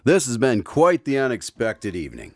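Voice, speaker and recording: An actor playing a mild, good-natured guest and announcer in a scripted radio drama. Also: male voice, medium pitch, huge pitch swings, normal speed, background noise. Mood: mild, wry. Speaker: narrator and credits announcer. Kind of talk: scripted radio drama acting and closing credits.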